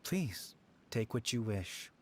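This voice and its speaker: A man playing a gentle, philosophical ancient hermit spirit in a video game, speaking in a measured, wistful, formal way. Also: fairly low pitch, very animated, slight background noise. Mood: gentle resignation. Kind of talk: video game character voice acting.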